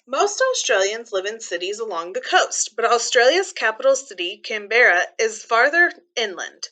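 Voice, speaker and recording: A woman reading a children's book aloud like a teacher, pausing to explain things simply and clearly to young listeners. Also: a little high, very animated, bright, forceful tone.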